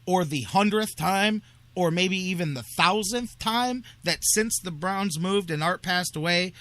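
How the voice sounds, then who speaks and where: A man hosting sports talk radio, giving opinions in an animated, emphatic way and repeating himself for emphasis. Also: high-pitched, a little slow.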